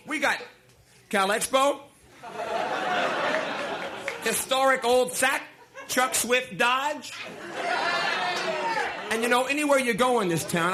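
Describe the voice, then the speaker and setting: A man's voice: very high voice, a little slow, long pauses, over a noisy crowd. A man performing live stand-up comedy in a playful, mock-earnest manner. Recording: live stage recording with music. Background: music.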